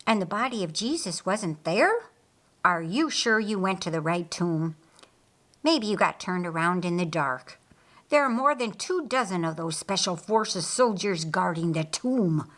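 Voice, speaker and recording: Woman putting on a grumbling, doubtful man, unhurried. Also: lowish voice, expressive, fairly bright tone. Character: gruff, doubtful, grumbling man roused from hiding.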